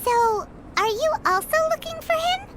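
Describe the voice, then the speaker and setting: A woman's voice: very high voice, very animated, moderate pace, heavy background noise. A woman voicing a tiny, childlike female pixie companion in a video game, cute, pouty, chatty and suspicious. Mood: indignant, puzzled. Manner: talks about self in third person, cute and pouty.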